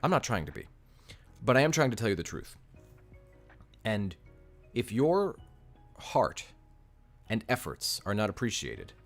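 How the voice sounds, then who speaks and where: Young male coach, deep low voice, blunt and repetitive, casual live-stream advice, faint music under it. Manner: blunt, direct advice, repeats key points.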